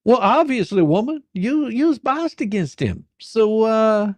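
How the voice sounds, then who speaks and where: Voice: mid-range voice, very animated, a little slow, a little mellow, no echo. A performer playing a pushy, exasperated attorney in a dramatic reading of a deposition, badgering, sarcastic and coarse. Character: pushy, folksy, exasperated attorney questioning a witness.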